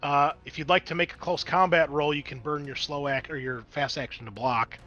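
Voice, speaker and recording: A man narrating and refereeing a tabletop roleplaying game as game master, in an informal, excitable way. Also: on the high side, fairly quick, muffled recording, soft background music.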